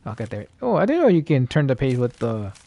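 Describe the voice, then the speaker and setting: A man doing casual live gameplay commentary as a streamer, thinking aloud in a halting way. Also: medium pitch, huge pitch swings, dark tone. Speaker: game streamer.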